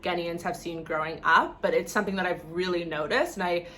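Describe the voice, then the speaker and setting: Low-pitched Canadian woman, casual vlogger. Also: fairly quick, a bit bright, a little hiss or hum. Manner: conversational, opinionated, frequent "like" and "you know". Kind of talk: casual talk to camera.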